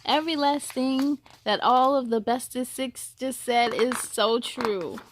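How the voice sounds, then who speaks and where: A woman's voice: high-pitched, measured pace, a little hiss or hum. A woman hosting a storytime, reading a children's book aloud expressively with chatty, encouraging asides.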